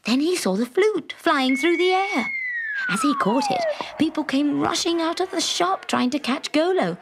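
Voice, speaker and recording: A woman narrating a scripted children's story in an expressive, dramatic storytelling manner. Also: very high-pitched, moderate pace, heavy background noise. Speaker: storyteller, audiobook reader.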